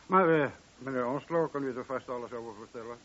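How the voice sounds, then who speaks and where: An actor playing a wry, informal police sergeant in a radio play, talking colloquially with a dry grumble. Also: male voice, mid-range voice, fast talker, fairly bright tone, natural voice. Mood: wry, informative. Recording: dull, lo-fi old radio recording.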